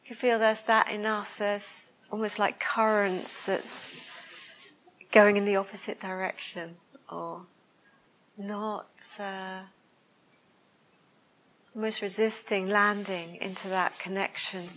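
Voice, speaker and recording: A woman with a soft voice giving a reflective meditation talk to a group, speaking slowly and pausing often to search for the right words. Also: medium pitch, level tone. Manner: slow, hesitant, searching for words. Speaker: meditation teacher. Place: room with a listening group.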